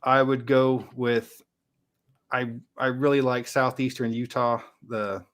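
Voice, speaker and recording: An American man in relaxed, unscripted conversation as a geography creator. He has a mid-pitched voice and thinks out loud, letting some thoughts trail off. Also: takes it slowly. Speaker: YouTube geography creator in a two-way interview. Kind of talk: casual unscripted chat.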